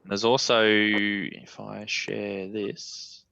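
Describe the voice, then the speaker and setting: An Australian man with a low, bright-edged voice talks casually over a video call, walking through his radio gear as he operates it. The sound is dry and close, with no room around it. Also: drawn-out words, gravelly, no room sound, muffled recording. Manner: relaxed, talks while operating radio software. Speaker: ham radio operator showing his station.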